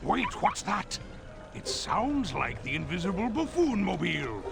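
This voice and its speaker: Pompous cartoon villain, gravelly, wildly swoopy, fancy words. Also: male voice, high voice, wildly swooping pitch, unhurried, heavily acted. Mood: pompous scheming. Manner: pompous, grandiloquent. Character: pompous scheming male cartoon supervillain. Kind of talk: cartoon game voice acting. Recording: music behind the voice.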